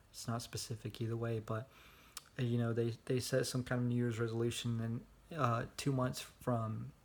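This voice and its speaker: A young man records a casual vlog on his phone held at arm's length. His voice sits at an ordinary pitch but stays flat and monotone, with little rise or fall. He rambles along with plenty of fillers and restarts. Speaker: vlogger.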